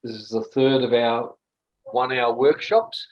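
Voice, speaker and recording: Australian male host, mid-pitch, hesitant, over a dull online line. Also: fairly even intonation, unhurried. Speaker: workshop host. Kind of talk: online meeting introduction. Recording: dull lo-fi video call audio.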